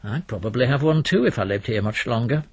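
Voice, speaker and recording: A man's voice: medium pitch, expressive, fast talker, slightly rough. A man voicing a chummy, cheeky young man who talks in a familiar, teasing way in a story reading. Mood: breezy, familiar.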